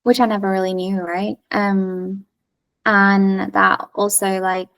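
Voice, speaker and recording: A woman talks as a podcast guest, candid and frank. Her voice carries a gravelly vocal fry at a middling pitch. She is recorded a little away from the mic. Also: flat delivery, slow pace, a bit bright, far from the mic. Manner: candid, frank, laughs easily. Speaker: podcast guest, coach and yoga teacher. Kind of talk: casual podcast conversation.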